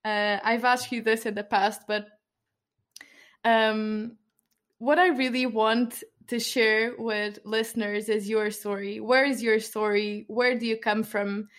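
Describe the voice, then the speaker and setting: A woman hosting an interview, earnest and soft-spoken, with hesitant ums. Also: on the high side, fairly even intonation, slow pace. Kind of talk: interview host.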